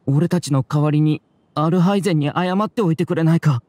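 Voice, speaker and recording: An actor playing an anxious, self-blaming young student in an anime game, with a husky, breathy voice. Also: male voice, a little high, fairly quick, no echo, lightly acted. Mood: resigned, contrite. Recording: soft music behind.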